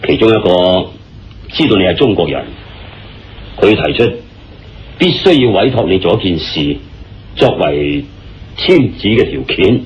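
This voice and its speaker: Hearty low-voiced police chief, Hong Kong Cantonese. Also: male voice, big pitch swings, a little hiss or hum. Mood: grave, cautioning. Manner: theatrical, hearty, deliberate. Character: genial, commanding senior international police chief, a man. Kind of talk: Cantonese radio drama acting. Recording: muffled lo-fi radio drama with music.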